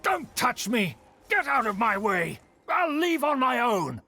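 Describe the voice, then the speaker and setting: A man's voice: high-pitched, sing-song, unhurried, a bit bright, a little hiss or hum, heavily acted. A man voicing a haughty, scheming noble uncle in a video game, lecturing pompously and theatrically with proud indignation. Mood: indignant.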